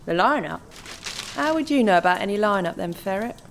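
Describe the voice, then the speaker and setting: An actor with a British accent playing a dry, teasing, unimpressed police sergeant in a radio comedy. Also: male voice, high voice, lively intonation, a little fast, fairly bright tone, a little hiss or hum. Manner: sarcastic, dismissive.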